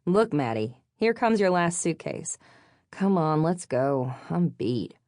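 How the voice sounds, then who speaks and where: A woman's voice: deep voice, very animated, slow, a little mellow, no echo, clean studio sound, full character voice. Narrator acting a sassy, blunt young woman. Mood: tired. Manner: sarcastic, punchy, informal. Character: sassy, playful young woman, blunt and teasing best friend.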